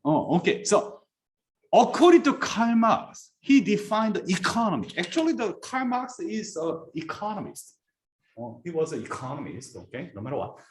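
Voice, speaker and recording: Korean-accented guy teaching business, high lively voice, super echoey room, mic far off. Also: moderate pace, slight background noise. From South Korea. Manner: quizzes students with repeated rhetorical questions. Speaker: business professor. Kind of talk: classroom lecture.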